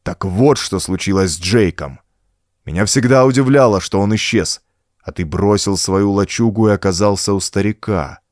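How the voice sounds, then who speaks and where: A man's voice: lowish voice, normal speed, darkish tone, dry recording, natural voice. Male reader as wary tough guy hero in a pulp audiobook, blunt n challenging, dry close sound. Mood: grim accusation.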